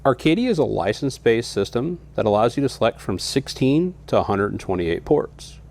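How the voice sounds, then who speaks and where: A man's voice: mid-range voice, sing-song, fairly quick, vocal fry, a little mellow, faint music behind. A man giving a scripted, methodical product walkthrough in an instructional way, as a trainer would.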